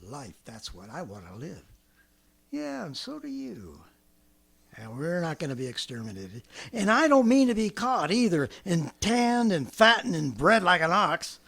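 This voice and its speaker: A raspy, fairly high-voiced former militiaman in an old radio play, rambling and boastful as he schemes. His pitch leaps about wildly, and he keeps laughing to himself. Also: huge pitch swings, measured pace, fairly bright tone, exaggerated voice. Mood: scheming. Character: scheming stranger, a former militiaman with a survival plan. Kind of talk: 1930s radio drama acting.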